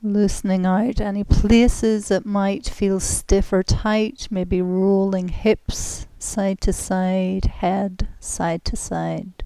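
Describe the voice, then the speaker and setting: Slow, soft-voiced woman guiding relaxation. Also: medium pitch, little pitch movement, gravelly, smooth, no echo, poor audio. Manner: gentle, soothing, unhurried with long pauses. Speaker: guided relaxation and yoga instructor. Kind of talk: guided relaxation, meditative instruction.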